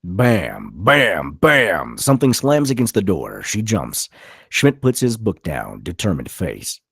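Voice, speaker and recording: A man's voice: deep voice, wildly swooping pitch, slow, vocal fry, fairly bright tone, husky, dry recording, studio quality. A man narrating stage directions at a live screenplay table read.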